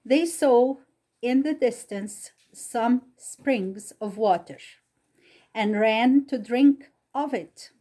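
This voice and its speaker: A woman from Egypt with an Egyptian accent and Arabic as her first language, reading Bible stories aloud in careful, halting English. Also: fairly high pitch, dark tone. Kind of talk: slow read-aloud storytelling.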